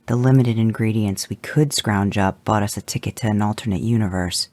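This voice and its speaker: A man reading fan fiction aloud, voicing a solemn, stiff male angel with a flat delivery. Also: lowish voice, brisk, no room sound, close to an everyday voice. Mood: grave.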